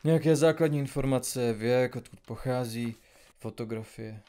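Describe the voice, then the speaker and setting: A man's voice: mid-range voice, dark tone, clean tone, close to an everyday voice. An actor playing a brooding, exasperated male detective in an audio drama, muttering as he goes. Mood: exasperated, focused. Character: brooding male detective searching for his lost brother.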